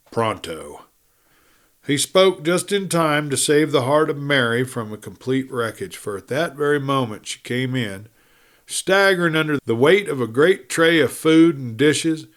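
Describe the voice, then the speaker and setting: A man's voice: mid-range voice, a bit bright. A man reading a western adventure story as a dramatic audiobook narrator.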